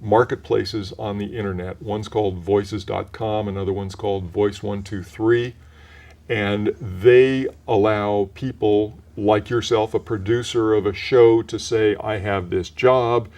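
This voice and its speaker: A mellow-voiced older man with a somewhat low pitch talks through his work in a community TV interview. He speaks in a measured, explanatory way and often restarts his sentences. He sounds relaxed and informative. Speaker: voice actor guest.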